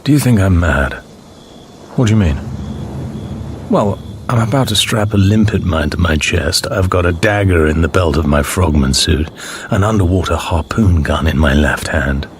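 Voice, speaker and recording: A man's voice: low voice, moderate pace, slight background noise, natural voice. A man playing a wry, daring British secret agent in a radio drama, sardonic and often muttering to himself. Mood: wry, tense. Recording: loud music under the voice.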